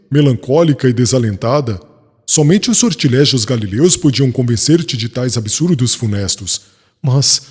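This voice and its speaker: A man's voice: medium pitch, a little mellow, slightly rough. A man playing a passionate, proud young fiancé in an audiobook drama, with theatrical, emphatic, formal delivery. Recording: long reverb, music behind.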